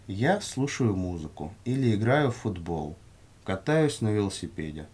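Low, husky man reading lesson answers. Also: big pitch swings, normal speed, a little mellow. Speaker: language lesson reader. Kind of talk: scripted language-course dialogue, reading sample answers.

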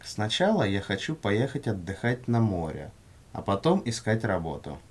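Low, husky man reading lesson answers. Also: sing-song, normal speed. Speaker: language lesson reader. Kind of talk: scripted language-course dialogue, reading sample answers.

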